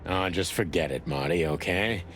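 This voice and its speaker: A man's voice: sits low, moderate pace, fairly bright tone, slight background noise. A man playing a weary old rooster detective in a film noir game, terse, brooding and world-weary. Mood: dismissive. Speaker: narrator and lead detective. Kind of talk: hardboiled film noir voice acting.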